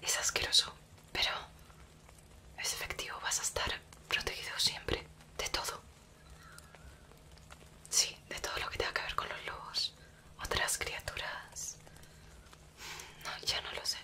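A young woman from Spain with a Spanish accent, voicing a gentle, protective werewolf woman in an ASMR roleplay, whispering softly and coaxingly into a close mic. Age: young adult. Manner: soft reassuring whisper, slow and coaxing. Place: small indoor room dressed as a cabin.

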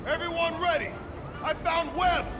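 An actor playing an aggressive, alert gang thug in a video game, rough and barking. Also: male voice, very high-pitched, steady tone, unhurried. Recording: loud game music over voice.

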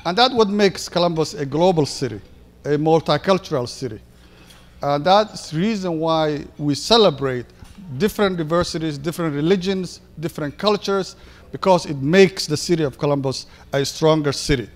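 A man in his thirties from Somalia with a Somali accent, giving a halting speech with many fillers at a ceremony, speaking into a handheld mic. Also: on the high side. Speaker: board member giving a speech. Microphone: handheld microphone. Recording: echoey hall reverb.

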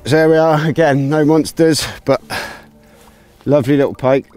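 A man in his thirties on a grassy riverbank outdoors, explaining his fishing tackle step by step in a relaxed way, with plenty of ums, into a lapel mic. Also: fairly high pitch, moderate pace, clean tone, soft background music. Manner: relaxed, explains gear step by step with many fillers. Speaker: angling presenter.

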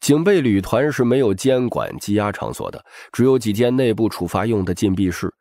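Animated male audiobook narrator. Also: on the low side, fairly quick, dry recording, studio quality. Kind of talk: dramatic scripted novel narration.

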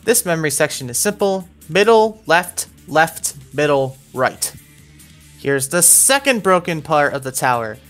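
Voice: medium pitch, very animated, measured pace, fairly bright tone. A narrator giving scripted, step-by-step instructional commentary for a video game walkthrough. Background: background music.

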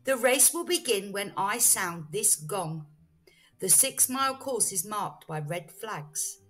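A woman voicing a rooster race announcer in a storybook, loudly proclaiming to a crowd. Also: mid-range voice, lively intonation, takes it slowly. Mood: commanding. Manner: loud, proclaiming.

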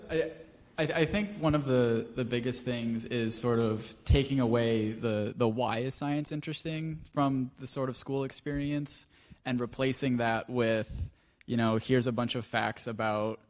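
A man's voice: mid-range voice, a little slow. A man on a panel in a lecture hall, answering hesitantly with many restarts.

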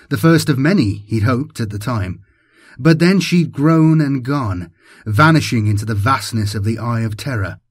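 A man's voice: medium pitch, slightly rough, dry recording, clean studio sound. A man giving a dramatic scripted narration of an audiobook as its narrator.

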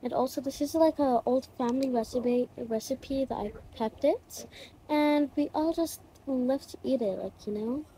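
A woman's voice: high voice, moderate pace, dark tone, slight background noise, low-quality recording. A woman casually narrating a role-play routine video in an informal, hesitant, chatty way.

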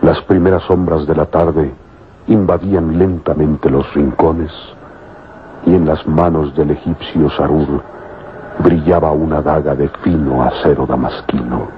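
A man narrating an old radio serial in a solemn, measured, dramatic style. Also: extremely low pitch, fairly even intonation, slight background noise. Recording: tinny old radio recording with music.

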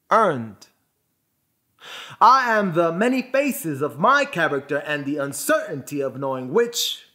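A man performing a dramatic poetic monologue, phrasing deliberately and emphatically with pauses for effect. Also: fairly high pitch, sharp tone. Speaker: spoken word performer.

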